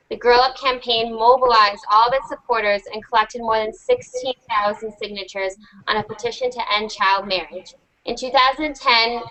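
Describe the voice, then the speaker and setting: A woman's voice: a little high, sharp tone, low-quality recording. A young American woman in her teens, enthusiastically hosting an online panel with a prepared introduction. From United States. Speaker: host.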